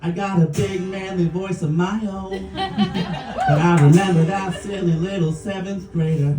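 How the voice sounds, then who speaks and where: A man's voice: sits high, expressive, measured pace, far from the mic. Man singing comic songs over guitar. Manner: jokey sung storytelling, chuckling between lines. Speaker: musical comedian. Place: small bar venue stage, live audience. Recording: roomy live club recording from afar, with guitar.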